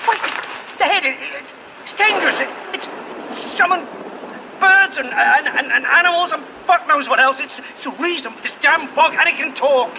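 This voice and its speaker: A man playing a panicked, defiant young man in a radio drama, shouting and stammering. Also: very high-pitched, normal speed, gravelly, noisy background. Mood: frantic.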